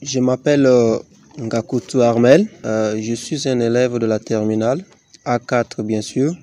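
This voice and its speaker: A young man from Chad, a high school student presenting his assignment, hesitant with fillers. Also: steady tone, unhurried, mellow.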